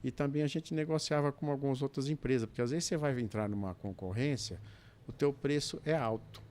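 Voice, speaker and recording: A man's voice: mid-range voice, fairly quick, a little mellow, a little hiss or hum. A Brazilian man, a podcast guest who tells his work history in loose, rambling sentences full of restarts and asides. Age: 60s. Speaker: podcast guest, businessman and dispatcher. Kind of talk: relaxed storytelling in a chat.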